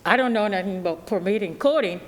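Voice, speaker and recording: A woman's voice: mid-range voice, quick pace. A woman from Barbados, speaking plainly and at length as a resident at a public hearing. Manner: rambling, plainspoken, nonstandard grammar. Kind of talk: informal testimony at a public meeting. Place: council meeting chamber. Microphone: podium microphone. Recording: reverberant meeting hall.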